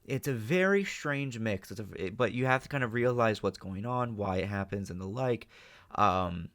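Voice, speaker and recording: A man's voice: lowish voice, animated, normal speed, a bit bright. A man hosting a reaction video, giving off-the-cuff analytical commentary with emphatic check-ins.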